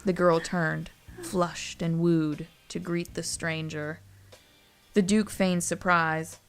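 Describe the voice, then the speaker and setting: A female narrator with a fairly low voice that creaks often. She reads a scripted story with wry drama, and music plays underneath. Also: flat delivery, takes it slowly.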